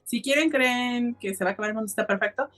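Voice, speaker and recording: A woman's voice: on the high side, quick pace, fairly bright tone. A woman co-hosting a podcast, chatting casually and emphatically as she addresses listeners. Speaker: podcast co-host. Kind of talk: casual opinionated chat.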